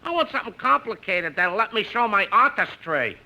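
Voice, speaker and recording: A man's voice: high voice, big pitch swings, brisk, noisy background. An actor playing an indignant, pompous working-class sound effects man who grumbles in bad grammar on a 1950s radio comedy. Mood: self-important.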